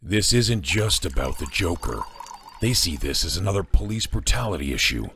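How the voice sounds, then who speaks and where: A man playing a weary, defensive police commissioner with a heavily raspy voice in a superhero fan dub. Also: low-pitched, lively intonation, moderate pace, fairly bright tone, slight background noise. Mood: defensive, earnest. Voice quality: very husky, raspy.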